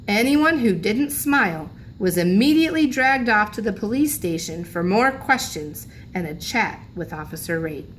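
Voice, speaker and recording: A woman's voice: medium pitch, a bit bright, a little hiss or hum. A woman reading a children's storybook aloud like a teacher, expressively, lingering on key phrases for emphasis.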